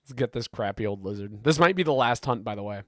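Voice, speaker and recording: A man streaming a game, chatting with his viewers and answering their messages. He is sick and congested, and his voice is hoarse, gravelly and deep. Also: quick pace, a bit bright, dry recording. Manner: rambling, reads chat aloud and answers it. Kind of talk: casual live-stream chat while gaming.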